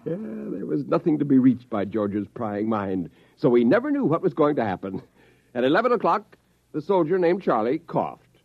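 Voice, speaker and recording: Modest clever professor type, old radio drama, lively pitch, bit hesitant, dull lo-fi sound. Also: male voice, normal speed, creaky, slightly rough, exaggerated voice. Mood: pleased, explaining. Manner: hesitant, understated, explanatory. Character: clever, modest husband, an academic hypnotist. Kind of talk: 1950s radio drama acting.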